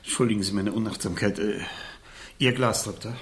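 A man playing a stiff uncle in an old radio crime drama. His voice is low, and his manner is irritable and hesitant. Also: fairly quick, lightly acted. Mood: flustered. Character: Selby, stiff, irritable uncle and host serving drinks. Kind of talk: 1970s German radio crime play acting.

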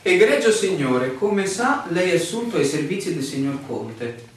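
A bossy, sardonic male surveyor, with a fairly high voice that swings widely in pitch. His delivery is theatrical and commanding, as in a radio play. It sounds very echoey and off-mic. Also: very animated, normal speed. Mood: formal. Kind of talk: stage-like radio drama acting. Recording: echoey room, distant mic, background noise.

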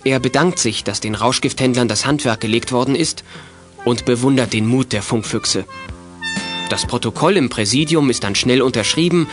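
A man's voice: mid-range voice, moderate pace, fairly bright tone, faint music behind. A man narrating a scripted German children's audio drama in the present tense as storyteller.

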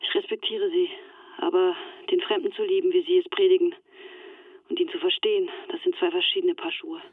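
A woman's voice: on the low side, fairly even intonation, brisk, no room sound. An actress playing an anxious, resigned young woman in a German radio drama, speaking earnestly through a telephone filter. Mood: earnest, bitter. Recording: thin, band-limited telephone line.

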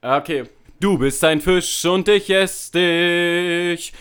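A man rehearsing lines as an amateur performer, breaking off between loud theatrical takes and casual chat. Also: high-pitched, slow pace, very noisy.

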